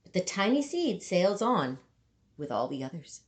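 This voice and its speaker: A woman reading a children's picture book aloud, with dramatic emphasis and deliberate pauses for young listeners. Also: fairly low pitch, big pitch swings, heavy background noise. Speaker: storybook reader.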